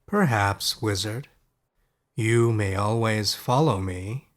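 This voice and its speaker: A man's voice: lowish voice, takes it slowly. Man voicing a proud, confident young knight. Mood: softly teasing. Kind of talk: fairy-tale audiobook character voice.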